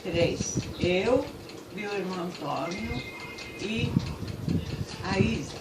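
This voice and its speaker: A woman's voice: mid-range voice, expressive, takes it slowly. An elderly woman in her eighties, from Portugal, gives brief and plain answers to an interviewer. She sounds far from the microphone in an echoey room. Heavy background noise runs under her voice. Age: 80s. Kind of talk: casual interview. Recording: echoey room, distant mic, loud noise.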